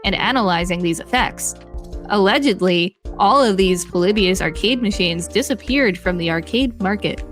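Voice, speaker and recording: An upbeat woman co-host reads an article aloud, picking up energy on lists. Her pitch sits mid-range for a woman. Faint music plays underneath. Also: moderate pace. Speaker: podcast co-host reading articles. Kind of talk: reading aloud with banter.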